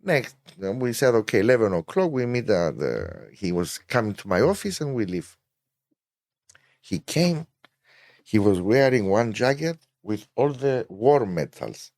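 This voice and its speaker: A man's voice: fairly low pitch, sing-song, a little mellow, no echo. Man, middle-aged, hesitant speech with restarts, dry close sound. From Cyprus. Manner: halting, searching for words with many restarts. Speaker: hotel managing director, guest. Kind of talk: casual anecdotal storytelling.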